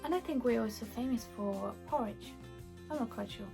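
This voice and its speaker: A woman's voice: a little high, normal speed, creaky, music in the background. A native German-speaking woman with a German accent, chatting casually as she answers questions for a video blog. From Germany or German-speaking Europe. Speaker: video blogger.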